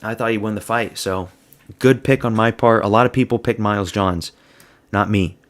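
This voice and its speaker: A man's voice: lowish voice, moderate pace. A man giving a blunt, crude, slang-heavy solo review of fight results. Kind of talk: casual solo commentary.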